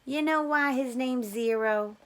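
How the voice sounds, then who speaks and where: A woman's voice: high voice, a little slow, a bit bright, pitched above the performer's own voice. A woman voicing an upbeat, preachy male camp counselor in a storybook reading, encouraging and patronizing. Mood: patronizing, insistent.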